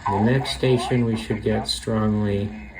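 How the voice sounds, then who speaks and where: A man's voice: on the low side, fairly even intonation, takes it slowly, crowd noise. A man chatting casually and unscripted as he demonstrates a shortwave radio, thinking aloud into a camera on a small tripod. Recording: noisy, radio static and hiss. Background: shortwave radio noise.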